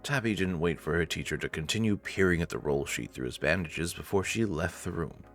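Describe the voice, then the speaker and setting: Very deep-voiced male narrator. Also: brisk, a bit bright. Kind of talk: scripted visual novel narration. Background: faint music.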